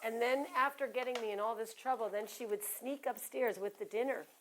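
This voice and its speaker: A woman's voice: on the high side, steady tone, a little fast, background noise. A woman hosting a casual cooking show, chatty, asking many questions and telling stories. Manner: chatty, asks many questions, shares anecdotes. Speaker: talk show host.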